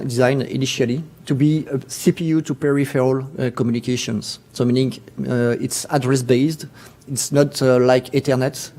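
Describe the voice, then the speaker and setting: A man with a French accent giving a technical conference presentation, halting, with many fillers and restarts. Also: medium pitch, darkish tone. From France. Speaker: conference speaker.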